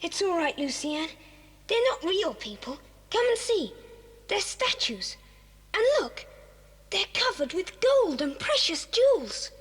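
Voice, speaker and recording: A reverberant cassette drama recording carries a boy adventurer with a very high, animated voice. The boy is a cheerful, confident leader, brisk and full of exclamations, always urging the others on. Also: exaggerated voice. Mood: awed, explaining. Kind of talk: 1980s children's audio drama acting.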